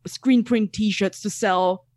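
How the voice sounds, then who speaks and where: Singaporean guy in his 30s, animated podcast guest, laughs a lot, dry close sound on a video call. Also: high voice, slow pace, no echo. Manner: animated, laughs often, repeats words. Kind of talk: casual interview chat.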